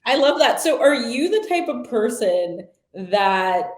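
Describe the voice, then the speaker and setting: A woman's voice: fairly high pitch, sing-song, slow, echoey room. A woman hosting a talk show, asking long friendly questions in a casual interview. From the Washington DC area.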